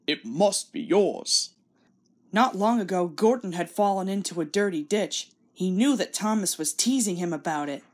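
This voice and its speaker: A woman's voice: mid-range voice, normal speed, few pauses, a little mellow. A woman reading a children's story aloud as scripted narration. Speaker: storybook reader.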